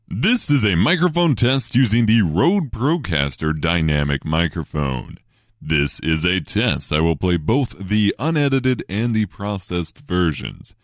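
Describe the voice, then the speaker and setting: A man reading a microphone test script in a measured radio-announcer style. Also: low voice, dry recording, studio quality. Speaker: voice tester.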